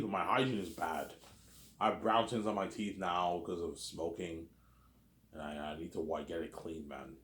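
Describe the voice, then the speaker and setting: A man's voice: low-pitched, fairly bright tone. A man in his thirties talking in a rambling personal monologue, repeating himself and tagging on filler words. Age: 30s. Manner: repetitive, keeps adding "obviously" and "man". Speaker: vlogger. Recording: roomy echo.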